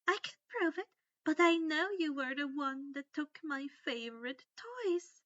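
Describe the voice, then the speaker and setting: A woman's voice: sits high, expressive, unhurried, fairly bright tone, no echo, highs cut off, pitched above the performer's own voice. A woman voicing a cruel, gloating middle-aged witch professor in an audiobook story. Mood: gloating menace. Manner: gloating, snarling.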